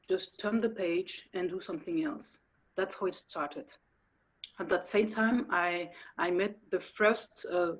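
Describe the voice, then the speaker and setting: A woman from France, speaking English as an in a video call interview, hesitant, with many fillers and restarts. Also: lowish voice. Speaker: guest musician. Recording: dull lo-fi audio.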